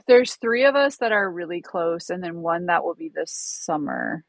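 A woman's voice: on the low side, animated, moderate pace, creaky, no echo. A woman co-hosting a podcast, chatting casually and rambling, often restarting and laughing at her own nerves. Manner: self-deprecating, rambling with restarts. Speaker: podcast co-host. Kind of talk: casual chat.

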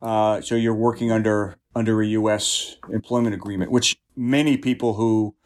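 A man giving explanatory interview answers as a union representative, in a blunt, deliberate manner. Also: lowish voice, level tone, a little mellow.

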